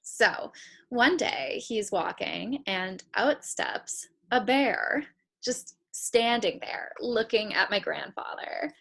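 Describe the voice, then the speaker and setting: A woman's voice: fairly high pitch, expressive, slow, vocal fry, fairly bright tone, exaggerated voice. An actress playing a dreamy, hesitant young woman who rambles reflectively in a naturalistic play reading. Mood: gentle storytelling. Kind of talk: naturalistic stage drama read over video call.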